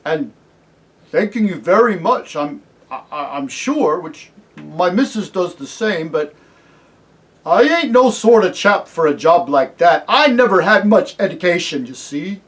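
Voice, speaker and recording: A man's voice: high voice, lively intonation, measured pace, fairly bright tone, pitched above the performer's own voice. A man voicing a humble working-class cabbie from a storybook, with a Cockney and English country accent and a flustered, deferential, stammering manner. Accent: Cockney shading into English country. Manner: hesitant, deferential, plain-spoken, stammering. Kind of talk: storybook character reading.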